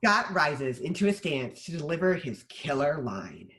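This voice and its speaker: A narrator reading stage directions in an animated way for a screenplay table read. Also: mid-range voice, unhurried.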